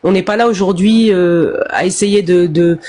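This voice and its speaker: A woman's voice: lowish voice, normal speed, dark tone. Blunt woman talking over others. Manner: blunt, talks over others, frequent restarts. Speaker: live stream participant. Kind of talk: casual heated discussion.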